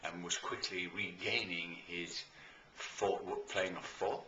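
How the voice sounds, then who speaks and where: A British man speaking as a coach promoting his services in an informal, enthusiastic and emphatic talk with deliberate pauses, recorded in a roomy space. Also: low-pitched, steady tone, bright, forceful tone. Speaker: life and business coach promoting own services. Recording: roomy echo, faint background noise.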